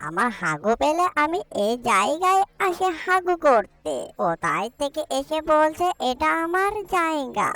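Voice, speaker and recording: A woman voicing a cheeky, stubborn little village boy in a cartoon, childlike and expressive. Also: high-pitched, unhurried, few pauses, full character voice. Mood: stubborn, defiant. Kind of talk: cartoon voice acting.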